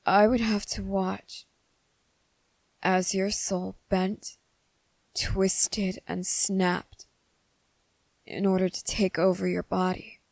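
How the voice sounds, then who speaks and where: A woman's voice: medium pitch, level tone, vocal fry, dry recording. Woman voicing a devoted, possessive vampire man. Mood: tender, grave. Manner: slow, soft-spoken and intimate, slipping into whispers. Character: young male vampire, devoted and possessive lover. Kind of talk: ASMR roleplay.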